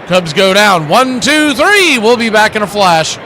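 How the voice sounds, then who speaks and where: A high-voiced man hosts a baseball broadcast with play-by-play and folksy asides. He leans on old-time catchphrases like a showman. The audio carries clear noise. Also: very animated, unhurried, bright, forceful tone. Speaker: baseball play-by-play radio announcer and stream host. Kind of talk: baseball play-by-play commentary with chatty asides to viewers.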